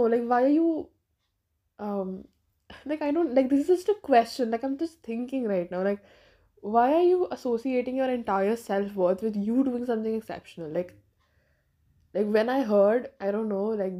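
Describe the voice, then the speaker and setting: A nineteen-year-old woman from India talks through her own podcast episode, circling back and restarting her thoughts as she goes. Her voice sits a little high but has a mellow, warm tone. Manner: rambling, thinking aloud with many fillers and restarts. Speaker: podcast host. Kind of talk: casual solo podcast monologue.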